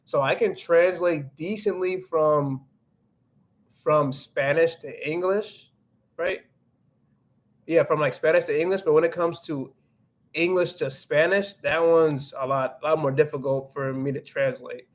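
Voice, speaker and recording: A man's voice: a little high, fairly even intonation, a little slow. A young man hosting a casual livestream, chatting loosely, with many restarts and fillers. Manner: rambling with frequent restarts and "like". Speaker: livestream host reading chat. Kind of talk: casual livestream chat, mixing English and Spanish.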